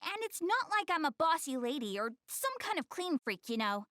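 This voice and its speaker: High girlish voice, young anime game goddess, swooping intonation, prim, proud, easily flustered, dry studio sound. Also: big pitch swings, bright, forceful tone, no echo, exaggerated voice. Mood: bashful, defensive. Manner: prim, flustered, stammering, quick to backtrack. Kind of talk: anime game English dub.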